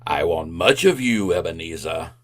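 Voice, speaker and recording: A man playing a solemn, accusing ghost in an old-time radio drama, speaking in a portentous, drawn-out manner. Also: sits low, lively intonation. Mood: ominous. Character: solemn male ghost of a dead business partner, accusing.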